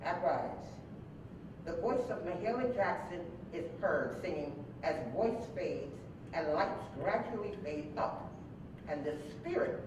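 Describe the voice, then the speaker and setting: A woman reading scripted stage directions aloud as narrator at a live staged reading. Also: lowish voice. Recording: very echoey hall, distant mic, noisy.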